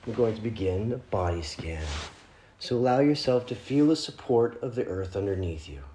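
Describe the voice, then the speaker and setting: Male meditation guide: lowish, mellow voice, gentle and unhurried delivery with pauses, recorded with noticeable room echo. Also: expressive, slight background noise. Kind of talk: guided meditation, spoken instruction. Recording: roomy, echoing room sound.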